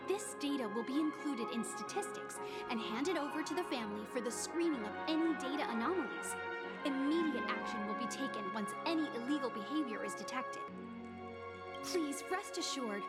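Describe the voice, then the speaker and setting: A woman's voice: high-pitched, lively intonation, brisk, loud music behind the voice. A woman voicing a courteous, formal young hotel receptionist in a game. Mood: polite.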